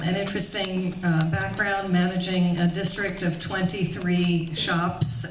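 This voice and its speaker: A woman from the organization making announcements and introducing the evening's speaker, speaking softly with many "um"s. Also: on the low side, distant mic. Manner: soft-spoken, with frequent "um" and "uh". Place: meeting room with an audience. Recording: echoey room recorded from afar with faint hiss.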